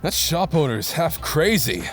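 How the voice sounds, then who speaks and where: A man's voice: fairly high pitch, very animated, moderate pace, soft background music. A man playing a tough, blunt, loyal teenage boy brawler in an anime-style audio drama. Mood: wry, serious.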